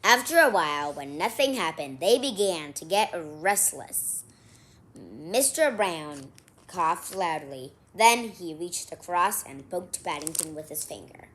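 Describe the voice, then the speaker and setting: A woman's voice: lowish voice, animated, takes it slowly, a bit bright. A woman reading a children's story aloud expressively, with casual, chatty asides to her listeners. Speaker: storybook reader.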